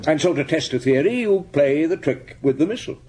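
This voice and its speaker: An actor playing a shrewd, probing police chief inspector in a radio drama, with a husky voice and a deliberate, teasing, accusing way of talking. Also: male voice, a little high, lively intonation, darkish tone, slight background noise, close to an everyday voice. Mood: wry, probing.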